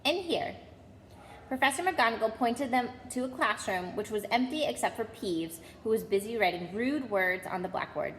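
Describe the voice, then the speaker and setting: A woman's voice: on the high side, moderate pace, hardly any pauses. A woman reading a children's novel aloud as a storyteller. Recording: echoey hall, faint background noise.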